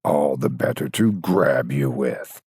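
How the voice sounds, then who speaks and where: A sly, hungry wolf from a storybook, as a male reader plays him. He coaxes and threatens in a deep, husky, gravelly voice. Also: expressive, takes it slowly, a little mellow, dry recording, clean studio sound, full character voice. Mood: menacing. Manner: smooth-talking, wheedling, menacing. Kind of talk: fairytale storybook reading.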